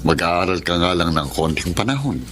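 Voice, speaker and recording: A practical grown-up brother in a radio drama. His voice sits fairly low, with faint ambience behind it. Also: lively intonation, moderate pace, fairly bright tone, a little hiss or hum. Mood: easygoing, persuading. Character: pragmatic adult brother home from abroad. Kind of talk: Filipino radio drama acting.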